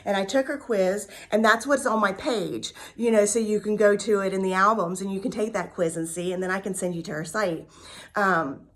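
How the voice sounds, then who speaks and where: A woman's voice: mid-range voice, a bit bright. A woman in her fifties telling her personal story in a candid, talkative way, with many ums and repeated words. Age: 50s. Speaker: vlogger sharing a recovery story. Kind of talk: casual personal testimony.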